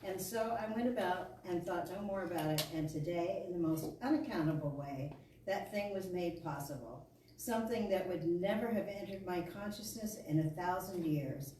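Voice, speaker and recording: A woman reads a spiritual lecture aloud to a gathering. Her voice sits a little low and carries from off the mic through a very echoey hall. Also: moderate pace, dark tone. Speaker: speaker reading to a congregation. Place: meeting hall of a spiritual center. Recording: echoey hall, distant mic, faint noise.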